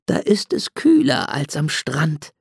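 A storyteller voicing a calm, helpful lady cat in a children's tale. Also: mid-range voice, slow pace, gravelly, slightly rough, no echo, clean studio sound, exaggerated voice. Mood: kindly.

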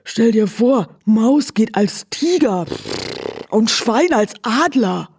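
A woman's voice: on the high side, lively intonation, slow, darkish tone, slight background noise, pitched above the performer's own voice. A woman voicing a worried, whining horse in a children's radio story. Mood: indignant.

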